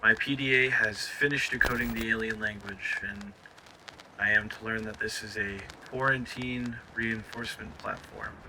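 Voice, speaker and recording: A man playing a stranded crash survivor recording an audio log, anxious and awestruck, with a halting, stammering delivery. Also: on the low side, steady tone, background noise, natural voice. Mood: grave, subdued. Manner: halting, stammering over words. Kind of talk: scripted audio log narration.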